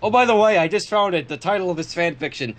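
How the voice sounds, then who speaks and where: A man with a husky, expressive voice, reading a story aloud and bantering casually. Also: sits high, fast talker. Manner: animated, expressive. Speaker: fan fiction reader on a livestream.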